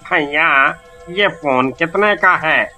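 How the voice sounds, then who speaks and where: A man doing an indignant male customer in an animated storybook, with a high, very sharp and tense voice. He speaks very slowly and presses his claim. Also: faint music behind, full character voice. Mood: insistent.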